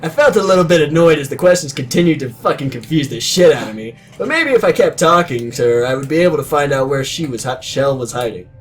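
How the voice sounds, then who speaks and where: A man's voice: a little high, expressive, fast, few pauses, soft background music. A man in his twenties narrating a story in a readalong, breaking in with crude, sarcastic, self-mocking asides. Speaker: reader of a comedic readalong.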